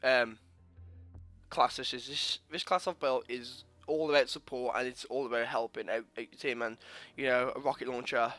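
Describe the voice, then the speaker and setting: A man's voice: medium pitch, fairly even intonation, normal speed, a bit bright, dry recording. A young man with a stuffy, slightly sore-throated voice rambles through his setup, full of ums and restarts. His voice sits mid-range, recorded close and dry with faint music underneath. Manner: rambling, many fillers and restarts. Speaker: gaming channel host. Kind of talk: casual gameplay commentary over menus.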